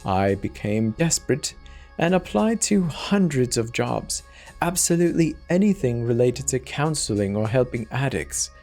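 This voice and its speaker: A man's voice: mid-range voice, sing-song, moderate pace, darkish tone. A man giving a dramatic scripted narration of a horror story. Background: music.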